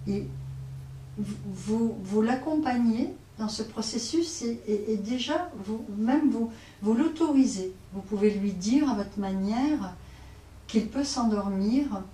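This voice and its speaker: Slow-spoken woman guiding relaxation. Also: a little high, lively intonation. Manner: hesitant, pausing, repeating phrases gently. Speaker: yoga nidra teacher. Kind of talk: guided relaxation instruction. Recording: roomy, distant mic, faint noise.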